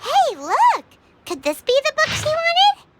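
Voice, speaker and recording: A woman voicing an excitable, chatty little fairy companion in a video game, with a bouncy, squeaky delivery. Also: exaggerated voice. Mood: eager. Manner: bouncy, squeaky, quick exclamations. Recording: game audio with loud background music.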